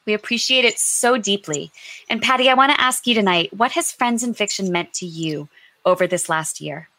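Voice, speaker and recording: A woman co-hosting an online book show in a lively, chatty, effusive way. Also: mid-range voice, moderate pace, dry recording, rough recording. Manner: effusive, gushing.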